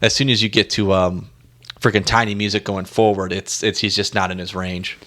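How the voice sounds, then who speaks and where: A man co-hosting a casual music discussion, halting and repeating himself for emphasis. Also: lowish voice, level tone, sharp tone. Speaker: music podcast co-host.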